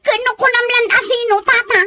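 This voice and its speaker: A woman's voice: very high voice, fairly even intonation, a little fast, pushed and bright, no room sound. A woman with an Ilocano accent playing an idle, easily offended, gossip-loving neighbor in a radio comedy, whiny and pestering. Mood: whining, indignant.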